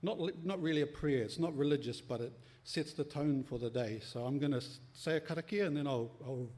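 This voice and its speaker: A middle-aged man from New Zealand with a New Zealand accent, giving a relaxed, friendly conference talk, with many ums and uhs. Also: a little high, fairly quick. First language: Māori. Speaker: workshop speaker.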